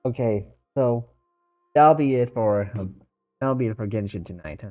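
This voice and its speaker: Halting male game streamer, roomy dull audio. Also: mid-range voice. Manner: halting, hesitant. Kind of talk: casual livestream talk. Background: faint game music.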